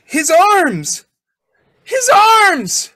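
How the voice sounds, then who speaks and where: An actor playing an overwrought, emotional father in an old-time radio drama, halting and repeating himself. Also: very high voice, huge pitch swings, pushed and bright, no echo, clean studio sound, full character voice. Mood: astonished. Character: overwrought, tearful, grateful young father.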